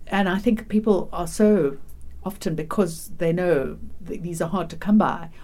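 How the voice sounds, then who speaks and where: South African woman with a mellow low voice. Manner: measured, practical advice. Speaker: panel guest, governance academic. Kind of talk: casual radio panel discussion.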